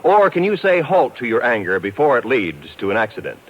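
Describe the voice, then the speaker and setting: A man announcing a 1950s public service message, earnest and gently admonishing, on an old radio recording. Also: mid-range voice, sing-song, a little fast. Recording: dull lo-fi vintage radio recording.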